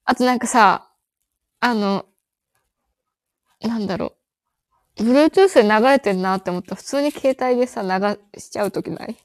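Lively woman in a dry, close recording. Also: on the high side, moderate pace, pauses often, dry recording. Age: 30s. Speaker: podcast co-host. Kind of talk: casual chat.

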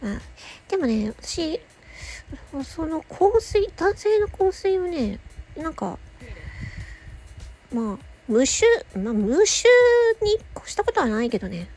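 A woman hosting a casual solo audio show, chatting playfully with self-mocking asides and a husky, slightly creaky voice. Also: sits high, big pitch swings, takes it slowly, darkish tone, slight background noise.

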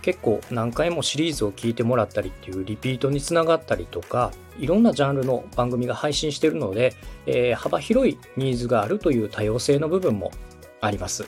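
A man in his thirties hosts a podcast with a mid-range voice that moves up and down freely. He explains point by point in polite speech, checking in with his listener, while music plays softly behind him. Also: mid-range voice, animated, quick pace. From Osaka, Japan. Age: 30s. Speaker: podcast host and radio director. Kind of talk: explanatory podcast talk to a co-host.

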